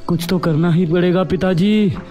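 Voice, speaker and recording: A man voicing an anxious, scheming young village chief's son in a cartoon story, urgent and hurried. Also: sits high, fairly even intonation, warm tone, soft background music, pitched above the performer's own voice, natural voice. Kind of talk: Hindi animated story dialogue.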